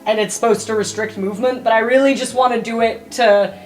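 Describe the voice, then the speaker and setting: Female tabletop player, casual and explanatory, fairly high voice, soft music behind. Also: steady tone, fairly quick. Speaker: player in a tabletop role-playing game. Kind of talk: casual game talk.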